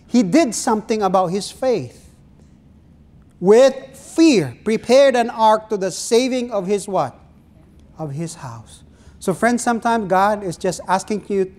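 Filipino-accented pastor preaching. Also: male voice, sits high, expressive, darkish tone. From Philippines. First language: Ilonggo. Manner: earnest preaching, drawn-out phrases, asks the congregation questions. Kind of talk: sermon. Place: small church stage with pulpit.

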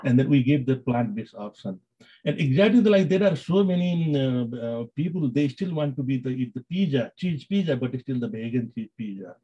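A man with a Nepali accent answering interview questions in halting English, full of fillers and restarts. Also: medium pitch, expressive, slightly rough. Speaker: business executive guest. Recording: dull lo-fi sound.

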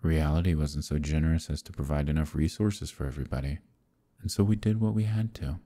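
A man voicing a proud, scheming demon king in an audio roleplay, talking in a slow, silky, menacing way. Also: fairly even intonation, mellow. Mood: bitter. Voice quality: deep gravelly voice. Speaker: voice actor. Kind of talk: ASMR roleplay.

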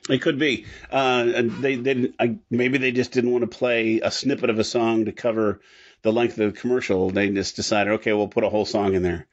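A male podcast co-host talks casually in a mid-pitched voice. His delivery is chatty and a bit halting, and the audio is somewhat lo-fi. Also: little pitch movement. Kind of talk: casual discussion of old-time radio. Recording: slightly dull, lo-fi audio.

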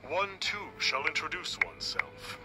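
An actor playing a pompous, self-styled immortal sage in an anime game, with stately, theatrical and archaic speech. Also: male voice, fairly high pitch, moderate pace, heavy background noise, close to an everyday voice. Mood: lofty, self-important. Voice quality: theatrical, affected. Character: pompous masked man posing as a lofty immortal sage. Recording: game music behind the voice.

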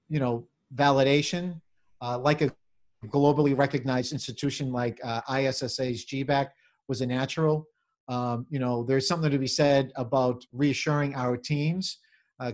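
A middle-aged man answering interview questions on a video call as a venue manager, in halting corporate phrasing with repeated words. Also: medium pitch. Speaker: convention center general manager, interview guest. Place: small office, indoors. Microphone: computer or webcam on video call.

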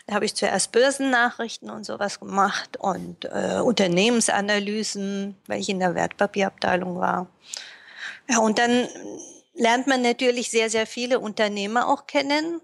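A woman speaking as a guest in a podcast interview, speaking thoughtfully with frequent pauses and fillers. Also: medium pitch, vocal fry. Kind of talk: casual interview talk.

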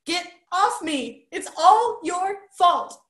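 A woman's voice: sits high, expressive, a little slow, sharp tone, echoey room, pitched above the performer's own voice, exaggerated voice. A woman voicing a frightened young boy in a storybook, tearful and stammering, trying to sound fierce. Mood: sobbing.

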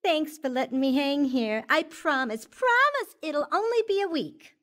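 An actress playing a brazen young mistress who teases and needles the wife. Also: female voice, high-pitched, lively intonation, unhurried, a bit bright. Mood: smug. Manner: smug, teasing.

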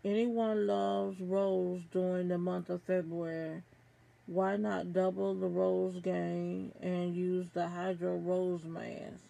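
A middle-aged woman reads product descriptions aloud in a small room at home. Her delivery is very slow and halting, with stumbles over names. The voice is heavily creaky and stays fairly flat. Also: lowish voice, poor audio. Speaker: beauty box reviewer. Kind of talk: product review, reading aloud from an info card.

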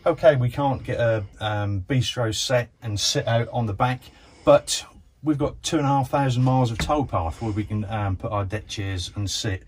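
A man's voice: medium pitch, moderate pace, few pauses, slight background noise. A man chats casually, rambling along with frequent ums as he shows viewers around. He sits in the cramped cabin of a narrowboat, recorded on a small action camera. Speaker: vlogger. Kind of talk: casual tour narration. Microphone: handheld action camera.

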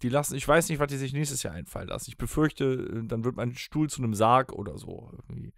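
A man's voice: medium pitch, animated, fast talker, gravelly, a bit bright, no room sound, clean studio sound. A man co-hosting a podcast, telling stories in casual banter. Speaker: podcast co-host.

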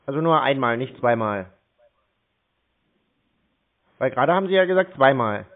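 A man talks over a muffled, tinny phone line at a middling pitch. He sounds hesitant and a little slow on the uptake, rambling through his explanations in casual conversation. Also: expressive. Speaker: caller posing as a confused customer.

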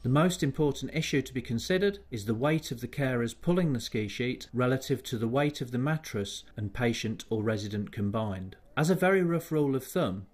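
Husky man narrating safety training. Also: mid-range voice. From United Kingdom. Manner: measured, formal, procedural. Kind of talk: scripted instructional voice-over.

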